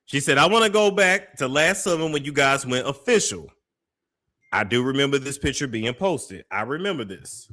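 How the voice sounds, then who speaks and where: A man's voice: mid-range voice, expressive, normal speed, pushed and bright. A man hosting a commentary show, reacting to clips in a gossipy, skeptical way. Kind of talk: reaction commentary on a played clip.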